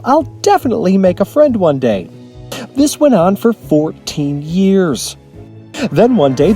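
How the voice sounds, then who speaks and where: A man acts out an earnest, awkward storyteller in a comedy storybook segment. The voice sits very high and is mellow, with extremely animated swings. The reading is sing-song and simple. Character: earnest, awkward male amateur children's storyteller. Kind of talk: parody storybook narration.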